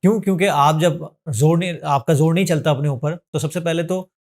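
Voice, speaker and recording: A man's voice: on the high side, brisk, darkish tone. A man, a gym trainer giving earnest fitness advice in a podcast, mixing in English phrases. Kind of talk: podcast interview answers.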